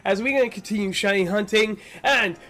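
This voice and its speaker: Congested high-voiced young male streamer. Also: brisk, sharp tone, soft background music. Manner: chatty, rambling, friendly with chat. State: congested from a sinus infection. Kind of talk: casual live-stream chat. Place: home room.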